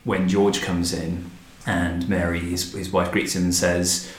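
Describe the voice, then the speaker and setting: A man's voice: low voice, level tone, a little slow. A man hosting a reflective interview conversation with thoughtful, considered phrasing. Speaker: podcast host and director. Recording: echoey room.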